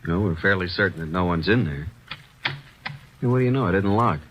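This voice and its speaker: An actor playing a brisk, businesslike male detective's assistant in a 1940s radio play, on a noisy old radio recording. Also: deep voice, expressive, dull audio.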